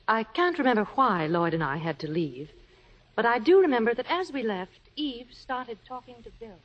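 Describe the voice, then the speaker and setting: A woman's voice: on the high side, expressive, normal speed. A woman playing a friendly, polished married woman in 1950s radio acting. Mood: warm recollection. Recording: slightly dull vintage radio recording.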